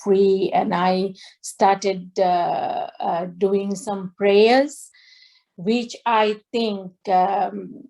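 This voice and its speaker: A middle-aged woman from Tanzania, a singer on a video call, talking slowly with many fillers and restarts. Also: mid-range voice, flat delivery, gravelly, mellow, rough recording. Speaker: guest singer. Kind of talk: interview over video call. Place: indoor home room.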